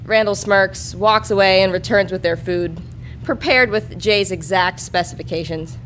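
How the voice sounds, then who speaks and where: A woman's voice: medium pitch, moderate pace, a bit bright, faint music behind, low-quality recording. A woman performing a live reading of a comic adult story as narrator. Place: live show before an audience.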